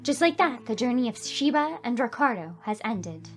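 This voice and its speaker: A woman's voice: a little high, sing-song, normal speed, soft background music. A woman hosting a gaming video, reading story narration expressively and adding emotive, reactive commentary. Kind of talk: expressive game read-aloud with commentary. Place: voice-over on gameplay with game music behind.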